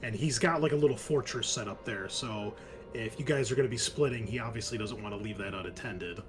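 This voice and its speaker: A man narrates a tabletop roleplaying game as game master. His delivery is casual and improvised, often halting and trailing off, with light music playing underneath. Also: fairly low pitch.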